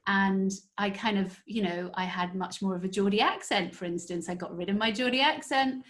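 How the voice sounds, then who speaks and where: A woman guest telling stories in a casual interview, animated and full of fillers. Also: medium pitch, normal speed. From northeast England. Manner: animated storyteller, many fillers. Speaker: guest, story strategist.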